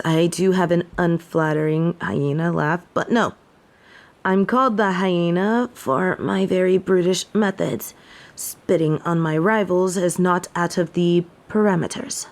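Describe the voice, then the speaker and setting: A woman playing a haughty, imperious yet softening fallen angel in a scripted audio roleplay, speaking in formal, theatrical phrases. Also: fairly low pitch, vocal fry. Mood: haughty, contemptuous.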